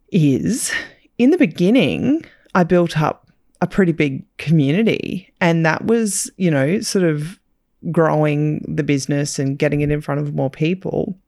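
An animated woman talks through questions on a casual podcast. Her voice has a gravelly, creaky edge. It is recorded close and dry. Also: low voice, dry recording. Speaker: podcast co-host, business owner.

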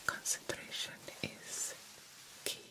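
A woman playing a vampire doctor in an ASMR roleplay, guiding a young fledgling with formal, deliberate, hypnotic instructions. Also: bright, forceful tone. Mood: intent, encouraging. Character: stern yet encouraging female vampire doctor mentoring a fledgling.

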